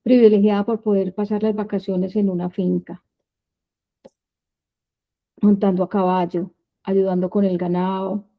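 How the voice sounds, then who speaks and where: A woman in her fifties with a Medellín Colombian accent, telling her life story as a prepared talk in measured, short phrases. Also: mid-range voice, level tone, dull audio. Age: 50s.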